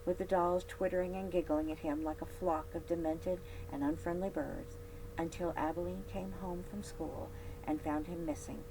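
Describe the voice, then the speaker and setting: Low-voiced woman reading a storybook aloud. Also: moderate pace, creaky. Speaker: library read-aloud host. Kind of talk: scripted storybook narration. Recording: steady background hum and noise.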